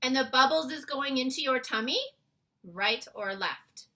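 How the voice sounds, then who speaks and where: Lady doing a big old storybook giant, booming and friendly, muddles words, loud and bright, swoops around. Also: lively intonation, full character voice. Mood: explaining, probing. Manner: booming, emphatic, drawn-out words.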